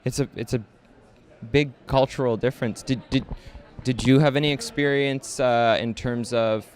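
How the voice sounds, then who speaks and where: A man's voice: medium pitch, steady tone, normal speed, clear, resonant tone. A man interviewing film guests for a campus radio station, asking long questions hesitantly with fillers and restarts.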